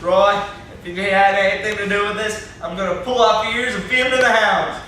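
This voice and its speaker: A man's voice: sits high, steady tone, fairly quick, sharp tone. A young man playing an indignant, blustering country captive in an amateur stage play, with a folksy, complaining, threatening way of talking. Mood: desperate, threatening. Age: young adult. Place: classroom-like room, indoors. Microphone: far camera. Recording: echoey room, distant camera mic, faint noise.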